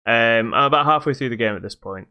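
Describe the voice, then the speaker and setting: A man with a very clear, smooth voice talks as a podcast co-host. His pitch sits in the middle, and his casual chat about games is dotted with playful asides. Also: fast talker, lo-fi sound.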